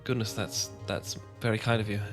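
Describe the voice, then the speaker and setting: A man with an English accent playing a charming, shy young gentleman vampire in an ASMR romance roleplay, polite and old-fashioned, often stumbling over his words. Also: lowish voice, level tone, normal speed, gravelly, over music. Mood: shy, courteous. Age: young adult.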